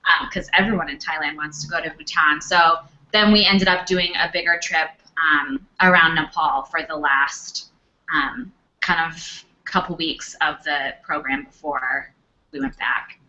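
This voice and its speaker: A woman's voice: low-pitched, unhurried, bright, forceful tone. A young woman speaking casually on a Q&A panel, chatty with lots of fillers. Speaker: panelist, former English teacher. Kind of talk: Q&A panel talk. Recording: dull lo-fi recording.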